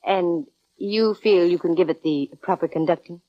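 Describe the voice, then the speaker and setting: Actress playing a young female sleuth, dry wit, self-assured, lowish voice, vintage radio drama, muffled sound. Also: normal speed, no echo, natural voice. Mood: wry, businesslike. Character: wry, breezy young female private detective narrator. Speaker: lead actress in radio detective series. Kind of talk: 1940s radio acting. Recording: dull vintage radio recording.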